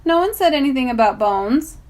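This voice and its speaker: Storybook kid brother, acted by a woman: young, blunt, questioning, higher voice, animated swings. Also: fairly quick. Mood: alarmed. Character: young boy, blunt, curious and excitable little brother.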